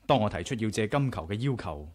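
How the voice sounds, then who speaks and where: An actor with a Hong Kong Cantonese accent playing a curious, self-assured adventurer in a radio drama, frank and measured. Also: male voice, on the low side, lively intonation. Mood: intrigued, reflective. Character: curious, bold male adventurer narrating his own tale. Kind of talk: radio drama acting and narration.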